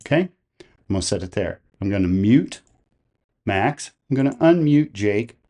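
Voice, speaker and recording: A man presenting a software tutorial, explaining each step in a methodical, plain-spoken way. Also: lowish voice, expressive, normal speed.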